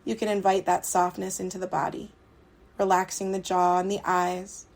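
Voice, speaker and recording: A woman leading a guided relaxation meditation, speaking soothingly and unhurriedly in short phrases with long pauses. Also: on the low side, little pitch movement, vocal fry. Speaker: meditation guide, yoga teacher. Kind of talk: guided yoga nidra meditation.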